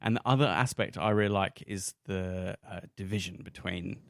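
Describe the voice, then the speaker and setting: An Australian man chatting casually as podcast host and game referee, with hesitant, halting phrasing. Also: low voice, vocal fry, fairly bright tone, no echo, studio quality. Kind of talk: casual chat.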